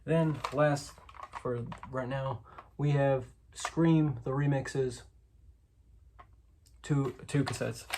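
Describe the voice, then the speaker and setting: A man's voice: mid-range voice, darkish tone. A man with a mid-range voice talks through a collection in a casual, unscripted vlog style. He is informal, often hedging or correcting himself. The recording has noticeable noise, with clicks and clatter behind the voice. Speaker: host, collector showing their collection.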